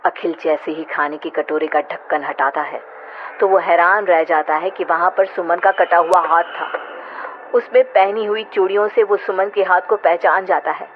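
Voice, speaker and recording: A woman's voice: lowish voice, normal speed, slight background noise. A woman giving dramatic scripted narration of a horror story. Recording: muffled audio with loud music bed.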